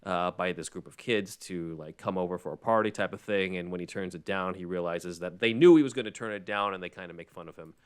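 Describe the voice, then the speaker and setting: A man's voice: sits low, fast talker, hardly any pauses, clean tone. A man hosting a podcast, explaining and recapping in a conversational way with rambling asides. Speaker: podcast host.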